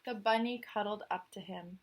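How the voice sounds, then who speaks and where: A woman's voice: mid-range voice, normal speed. A woman reading a children's picture book aloud as a storyteller, expressively, with chatty, questioning asides to young listeners.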